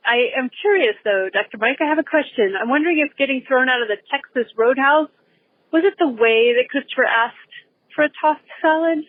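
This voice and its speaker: A woman's voice: high voice, a little fast, dry recording, narrow, tinny audio. A woman caller leaving a casual voicemail over the phone. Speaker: podcast caller.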